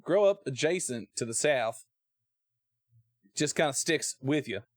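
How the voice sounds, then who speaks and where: A man's voice: medium pitch, expressive, unhurried, dry recording, clean studio sound. An American man giving casual, joking gameplay commentary and reading text aloud, with playful, folksy drawled asides. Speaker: game streamer.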